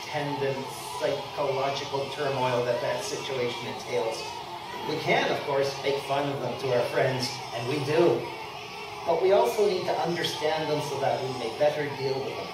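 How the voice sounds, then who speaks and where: A man's voice: medium pitch, natural voice. Man playing an earnest reporter in echoey room. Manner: mock-serious, earnest. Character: naive, earnest young male radio reporter. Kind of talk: parody documentary narration in radio play. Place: convention room, live stage performance. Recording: very echoey, noisy live room, distant mic.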